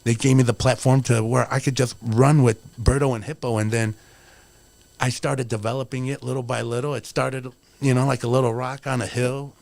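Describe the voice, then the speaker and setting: A man's voice: mid-range voice, level tone, brisk, vocal fry, darkish tone. A man in his forties, a wrestler guest on a podcast, talking in an earnest, motivational way with lots of "you know". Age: 40s. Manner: earnest, motivational, rambling with many fillers. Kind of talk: casual podcast interview.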